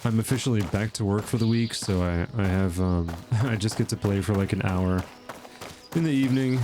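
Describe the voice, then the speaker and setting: A man's voice: lowish voice, normal speed, background noise. A man hosting a casual gameplay video, chatting and thinking aloud with lots of fillers while his nose is stuffed up from allergies. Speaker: gaming channel host.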